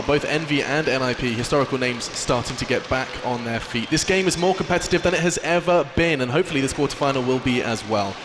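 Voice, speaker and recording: A man hosting a live esports analyst desk, brisk and polished in a presenter's manner. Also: mid-range voice, noisy background.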